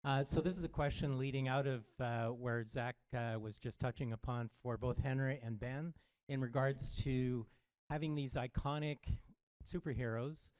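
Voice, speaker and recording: A man's voice: mid-range voice, fairly even intonation, moderate pace, darkish tone. A male newspaper journalist who is losing his voice, asking a long, winding question with many fillers.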